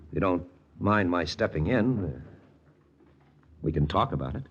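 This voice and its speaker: An actor playing a wry American army lieutenant hunting spies, in a 1940s radio drama with first-person narration. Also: male voice, sits low, lively intonation, moderate pace, breathy edge. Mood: awkward, hopeful. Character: wry American army lieutenant and spy-hunter, quietly lovesick. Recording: muffled old radio recording, music behind.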